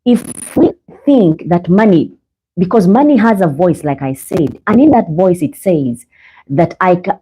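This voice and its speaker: A woman's voice: on the low side, warm tone, husky, low-quality recording. Ugandan woman hosting a faith podcast. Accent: Ugandan English. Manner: earnest, preachy, addresses viewers as guys. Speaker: podcast host. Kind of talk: live faith podcast monologue. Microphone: own microphone, sometimes adjusted.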